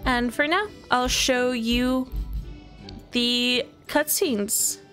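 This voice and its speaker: A woman's voice: fairly high pitch, drawn-out words, fairly bright tone, soft background music. A woman giving a casual walkthrough commentary of a video game. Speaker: gaming video presenter.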